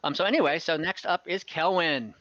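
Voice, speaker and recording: A man with a fairly high, very bright voice referees a tabletop game as game master. He talks casually and hesitantly, working through rulings aloud. The sound is close and dry, slightly dulled like online voice chat. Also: a little fast, dry recording, highs cut off.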